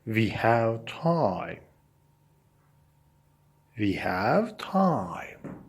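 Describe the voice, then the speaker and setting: Male language teacher for phrase drills, extremely slow delivery, lively intonation, deliberate and separated phrasing. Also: fairly low pitch, breathy edge. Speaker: English teacher. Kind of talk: English lesson, reading short phrases aloud with repetition.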